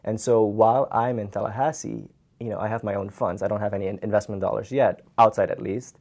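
A young man from Suriname, a native Dutch speaker, calmly explaining his startup work in an interview. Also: fairly low pitch, fast talker, creaky. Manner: relaxed, explanatory, frequent um fillers. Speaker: startup founder. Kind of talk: interview answers.

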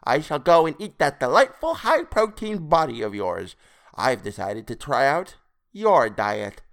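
A man's voice: a little high, sing-song, a little slow, vocal fry, fairly bright tone, pitched above the performer's own voice, exaggerated voice. A man voicing a flabby, sinister toad-faced sea creature in an audiobook, mocking, gloating and theatrical. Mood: mocking glee. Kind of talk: dramatised audiobook character voice.

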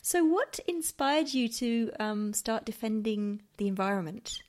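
A woman's voice: on the high side, lively intonation, a little slow, a little mellow. Woman hosting an ocean podcast. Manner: reads the intro steadily, then chats with encouraging fillers. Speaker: podcast host. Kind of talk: podcast interview with a scripted intro.

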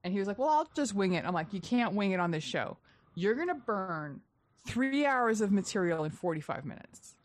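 A young adult woman, a stand-up comedian, chatting casually on a podcast and telling gossipy road stories with lively animation. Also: medium pitch, a little fast, no echo. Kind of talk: casual podcast chat, storytelling.

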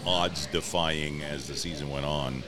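A middle-aged man with an extremely low voice tells stories as an interview guest. His manner is easygoing and wry, wandering a little as he casually explains things. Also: fairly even intonation, a little slow, over music. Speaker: stadium public address announcer, interview guest. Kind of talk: casual conversational storytelling.

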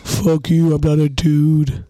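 Woman, deep husky voice, brash, profane, joking, casual podcast banter. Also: little pitch movement, slow, warm tone, a little hiss or hum. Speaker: podcast co-host.

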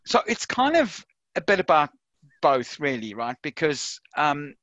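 A man's voice: on the high side, very animated, a little slow, bright, forceful tone, dry recording, dull audio. A middle-aged man with a South African accent, a podcast guest talking casually with many fillers and restarts. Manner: lots of fillers and repeated words. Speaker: podcast guest, software consultant. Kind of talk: casual interview chat.

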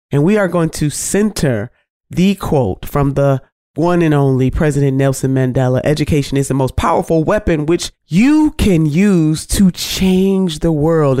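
A woman's voice: low voice, a little mellow, dry recording. Woman podcast host, nasal from a cold, emphatic and preacherly, dry sound. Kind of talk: casual podcast interview.

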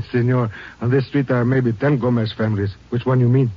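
A man's voice: medium pitch, fairly even intonation, moderate pace, slightly rough, close to an everyday voice. A man acting a man in a 1950s radio play, crying out for help. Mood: wary. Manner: cries out, shouts for help. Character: frightened then furious Mexican man on street. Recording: muffled noisy old radio broadcast.

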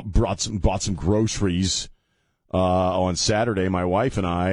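A man's voice: low-pitched, no echo, clean studio sound. A middle-aged man hosting a talk radio show, rambling and sardonic, often restarting his sentences. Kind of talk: casual talk radio monologue. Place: radio studio. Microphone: studio microphone.

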